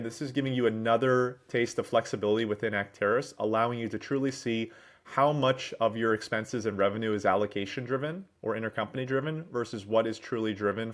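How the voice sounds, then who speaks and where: A man narrating a business software demo in a businesslike, explanatory way, with wordy explanations. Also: fairly low pitch. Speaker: presenter, managing director at a software consultancy.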